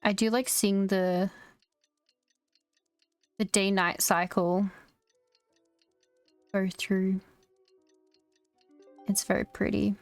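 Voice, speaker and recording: A woman's voice: mid-range voice, flat delivery, drawn-out words, pauses often, dry recording, faint music behind. A woman streamer giving casual, informal, reactive commentary on a game she is playing, close on the microphone.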